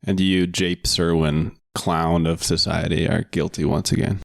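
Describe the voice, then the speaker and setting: A man co-hosting a podcast in casual chat, with dry, wry, deadpan asides. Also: deep voice, unhurried, creaky, no echo. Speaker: podcast co-host.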